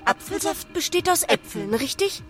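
High-voiced naive female artificial intelligence. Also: very animated, normal speed, faint music behind. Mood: curious. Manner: precise, stuttering on words while describing herself in third person. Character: Julia, naive young female artificial intelligence, curious and literal. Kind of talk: radio drama acting.

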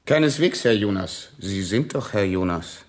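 An actor playing a young hitman who poses as a courteous, smooth salesman in a radio drama. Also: on the low side, lively intonation, normal speed, natural voice. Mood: smooth, businesslike. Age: young adult. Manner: courteous, businesslike, insistent.

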